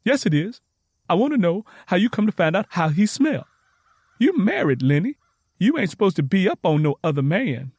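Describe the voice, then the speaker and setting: An eager young Southern woman played by a male narrator in an audio story. Her pitch sits high and swings widely as she speaks in an earnest, folksy drawl, recorded close and dry. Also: moderate pace, dry recording, heavily acted. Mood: defensive, indignant. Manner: excited, earnest, folksy dialect.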